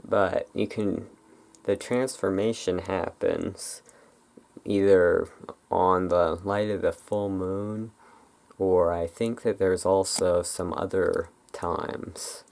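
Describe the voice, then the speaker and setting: A very low-pitched ASMR voice with plenty of vocal fry. The delivery is slow and unscripted, wandering along in a relaxed, musing way with halting stops. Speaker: ASMR video creator. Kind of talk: ASMR, unscripted rambling about folklore.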